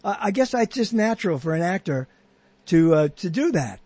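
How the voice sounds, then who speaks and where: A man's voice: sits high, big pitch swings, normal speed, dark tone, husky, no room sound. A man hosting a podcast, chatting casually and rambling with many restarts and half-finished thoughts. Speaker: podcast host. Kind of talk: casual interview chat.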